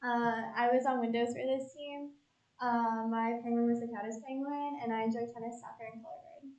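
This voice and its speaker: A woman's voice: fairly high pitch, fairly even intonation, brisk, a little mellow, roomy sound, poor audio. A young woman from Central New Jersey introducing herself with light, self-deprecating humor. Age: young adult. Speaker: student presenter.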